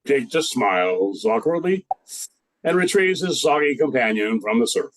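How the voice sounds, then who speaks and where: A man's voice: mid-range voice, very animated, moderate pace, rough recording. An older man narrating a scripted radio play as its storyteller.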